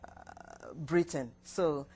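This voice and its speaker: A Nigerian woman whose first language is Igbo, answering interview questions haltingly, with restarts and short yes answers. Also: very deep voice, wildly swooping pitch, vocal fry, a little mellow, a little hiss or hum. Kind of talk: recorded counseling interview.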